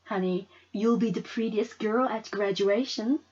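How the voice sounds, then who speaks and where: A tired but tender storybook mother, voiced by a woman reader. She speaks gently and takes her time, reassuring as she goes. The recording is a little dull. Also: medium pitch, a little slow, close to an everyday voice.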